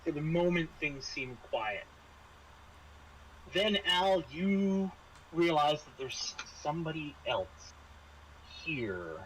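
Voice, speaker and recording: A man running a tabletop roleplaying game, narrating casually and haltingly, often repeating words. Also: a little high, big pitch swings, a bit bright, a little hiss or hum, rough recording. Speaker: game master.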